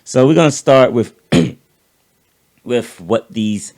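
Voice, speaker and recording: A man co-hosting a casual political chat show, talking with a raspy voice and vocal fry, rambling and repeating words as he swears freely. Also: mid-range voice, expressive, very slow, darkish tone. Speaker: podcast co-host.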